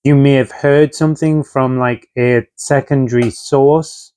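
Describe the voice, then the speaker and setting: A man's voice: medium pitch, fairly even intonation, measured pace, warm tone, smooth, no echo, studio quality. A man giving a casual tarot reading, talking conversationally with frequent fillers while getting over a chest infection. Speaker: tarot reader.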